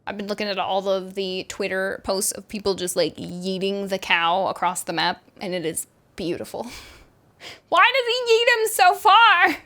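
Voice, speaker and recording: A woman streamer giving casual game commentary in a playful, excitable, slangy way. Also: medium pitch, moderate pace. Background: game music.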